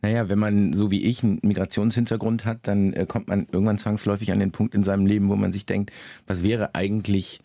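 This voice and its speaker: A man being interviewed about his novel, answering in a measured, reflective way with frequent pauses and restarts. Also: lowish voice, flat delivery, creaky, warm tone, dry recording, studio quality. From Germany, Lower Saxony.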